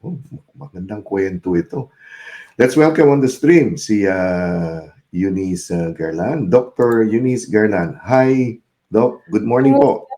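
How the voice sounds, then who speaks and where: Male podcast host, low and mellow, easygoing code-switching chat. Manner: mixes English and Filipino, relaxed, chuckling, many fillers. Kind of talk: casual interview chat. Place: New Zealand.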